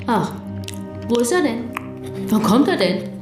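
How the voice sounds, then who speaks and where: A woman's voice: a little high, big pitch swings, measured pace. Fond, teasing socialist woman, swooping intonation, bossy, radio drama, roomy sound with music. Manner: coaxing, teasing. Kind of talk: German radio play acting. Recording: echoey room with music.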